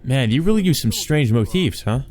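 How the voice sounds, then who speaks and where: A man's voice: mid-range voice, expressive, normal speed, a little mellow, pitched above the performer's own voice, lightly acted. A man voicing an insolent, carefree male visitor in a visual novel, over-familiar and needling in manner. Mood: teasing praise.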